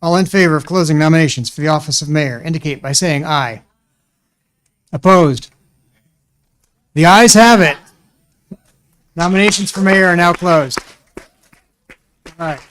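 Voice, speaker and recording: A man's voice: a little high, a little slow, pauses often, a bit bright. A man chairing a meeting in formal parliamentary style, making short procedural calls.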